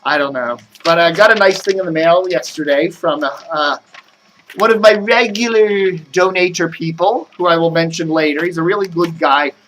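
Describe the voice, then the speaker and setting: An American man with a slightly high-pitched voice chats casually to camera. He meanders and thinks aloud, easily sidetracked. The home recording has noticeable noise and clicks. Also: normal speed, a bit bright, poor audio. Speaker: video blogger. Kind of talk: casual rambling chat to camera. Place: indoors at home.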